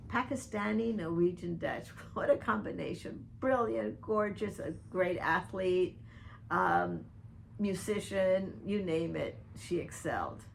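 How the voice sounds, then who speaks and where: A woman's voice: on the low side, animated, takes it slowly, vocal fry, a little hiss or hum. An older woman from the Dominican Republic, speaking straight to one listener by name in an earnest, pointed personal video message. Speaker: vlogger addressing a public figure. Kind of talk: personal open-letter video monologue.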